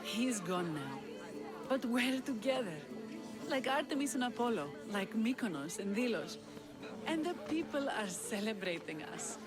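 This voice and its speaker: An actress playing an earnest young woman leading rebels in a game cutscene, soft and reflective. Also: a little high, big pitch swings, unhurried, crowd noise. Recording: game cutscene with loud music behind.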